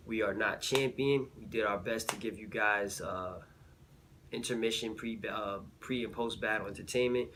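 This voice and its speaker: A slightly sick man recapping a battle rap event in a relaxed vlog, with lots of fillers and slang. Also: lowish voice, moderate pace, background noise. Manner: laid-back, filler-heavy slang. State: a little sick.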